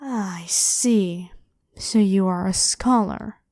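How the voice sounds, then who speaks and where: A woman's voice: medium pitch, takes it slowly, a little mellow, no echo, natural voice. Woman acting a haughty, evil pharaoh queen, dry close sound. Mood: imperious, menacing. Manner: haughty, commanding, theatrically scornful. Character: evil ancient female pharaoh, imperious, demanding, controlling and ruthless. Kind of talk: scripted ASMR roleplay addressed to the listener.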